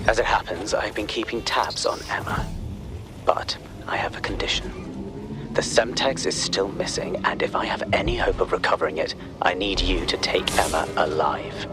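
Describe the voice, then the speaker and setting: A man's voice: low voice, steady tone, moderate pace, whispered, over music, lightly acted. A man playing a cold spymaster. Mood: menacing. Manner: clipped, menacing, controlled. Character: cold, scheming male spymaster.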